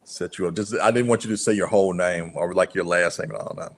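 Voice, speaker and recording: A man hosting a podcast, with a fairly low-pitched voice. He asks his questions casually, joking now and then and steering the guest. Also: quick pace. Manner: relaxed, joking, directive. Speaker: podcast host.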